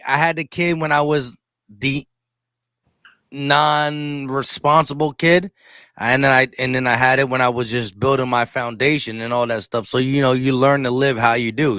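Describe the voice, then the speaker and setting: A man's voice: medium pitch, pushed and bright, clean tone, no room sound. A man in his thirties chatting casually as a sports show host, emphatic and given to repeating himself. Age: 30s. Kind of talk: casual chat.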